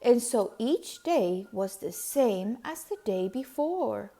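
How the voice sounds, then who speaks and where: A woman reading a children's picture book aloud as a storyteller, with deliberate, measured phrasing. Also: fairly high pitch, animated, warm tone.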